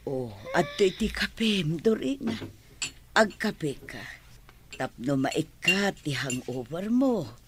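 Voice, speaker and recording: A woman's voice: fairly low pitch, sing-song, slow, background noise. A woman playing a caring household figure in a Filipino radio drama. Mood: gentle, caring. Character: caring woman tending a hungover girl.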